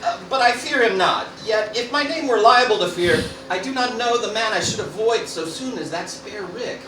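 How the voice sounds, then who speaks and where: A man playing a wary, foolish, self-important cartoon father as a Roman ruler, halting and pompous in an amateur Shakespeare parody. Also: high-pitched, expressive. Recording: roomy, distant mic, noisy room.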